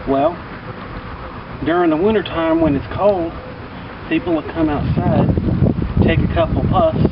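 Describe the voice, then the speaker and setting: Man telling stories over loud background noise. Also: on the high side. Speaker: vlogger telling personal stories. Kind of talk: casual rambling storytelling. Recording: distant mic, muffled, loud outdoor traffic-like noise.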